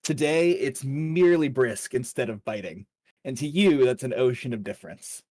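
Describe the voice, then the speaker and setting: A man's voice: mid-range voice, moderate pace, darkish tone, no room sound. A man narrating a tabletop fantasy game as dungeon master, informal with his players.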